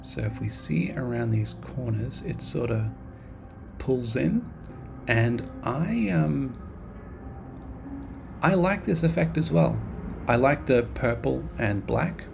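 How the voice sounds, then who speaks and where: A man's voice: mid-range voice, expressive, slow pace, vocal fry, pauses often, background noise. A man casually narrating his game development devlog, rambling and self-deprecating, with frequent ums and restarts. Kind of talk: casual devlog narration over screen recording.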